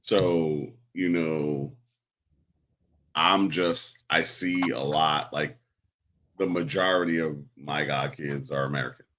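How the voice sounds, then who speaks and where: A man's voice: rumbling low, fairly even intonation, takes it slowly, fairly bright tone. A man from Puerto Rico, raised in New York, talking casually and loosely, with many fillers and some swearing. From born in Puerto Rico, raised in New York. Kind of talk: casual conversation.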